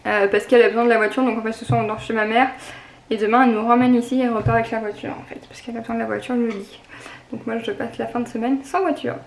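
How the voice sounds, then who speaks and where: A woman's voice: a little high, little pitch movement, rapid-fire, creaky, reverberant, slight background noise. A young woman with a cold and a stuffy nose, chatting casually and rambling through a daily vlog at home. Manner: chatty, rambling, many fillers and self-corrections. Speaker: vlogger.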